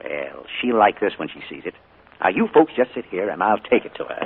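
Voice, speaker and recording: A charming middle-aged uncle with secrets in a 1940s radio play. His husky voice swoops up and down as he plays the genial guest. The thin, scratchy broadcast sound dates it. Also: low voice, sing-song, brisk, phone-quality sound, heavily acted. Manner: genial and hearty, turning soft and hushed.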